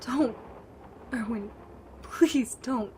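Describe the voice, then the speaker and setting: A woman's voice: on the high side, drawn-out words, darkish tone, a little hiss or hum. A woman playing a bitter, guilt-ridden, foul-mouthed woman in an audio drama, blunt and defensive in manner. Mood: despairing, pleading.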